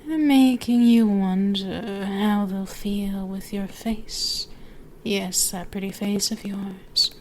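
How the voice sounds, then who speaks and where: A woman voicing a seductive, teasing, dominant ancient female dragon in an ASMR roleplay, speaking intimately to the listener in a drawn-out way that often dips toward whispers. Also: mid-range voice, fairly even intonation, a little mellow, a little hiss or hum, natural voice. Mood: sultry, dominant.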